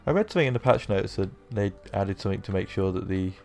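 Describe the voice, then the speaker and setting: Croaky low-voiced man narrating gameplay. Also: fast talker, darkish tone, soft background music. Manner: rambling, self-deprecating, with many fillers. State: croaky from a sore throat. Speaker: gaming YouTuber. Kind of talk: casual let's-play commentary.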